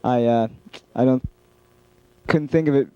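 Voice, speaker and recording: A middle-aged American man reminiscing in an unscripted interview, with an earnest, halting way of talking. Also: mid-range voice, darkish tone, clear, resonant tone, dull audio. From San Francisco Bay Area, United States. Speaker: program chairman of an old-time radio club.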